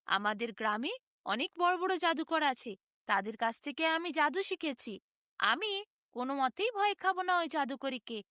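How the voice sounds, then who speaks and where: A woman's voice: high voice, expressive, moderate pace, pushed and bright, no room sound, clean studio sound. A woman voicing a kind, brave young village girl in a storybook, earnest and confident. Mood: determined. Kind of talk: storybook character voice.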